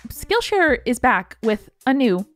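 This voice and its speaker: A woman co-hosting a podcast in a casual, animated, slangy conversational style, chatting and reading sponsor spots. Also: fairly high pitch, takes it slowly, soft background music. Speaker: podcast co-host. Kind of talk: casual chatty ad read and banter.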